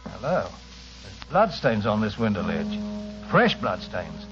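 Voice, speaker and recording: An actor playing a brisk, sceptical police sergeant questioning people in an old-time radio drama. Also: male voice, on the low side, very animated, over music. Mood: suspicious, brisk. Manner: blunt, interrogating. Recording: muffled, hissy old radio recording.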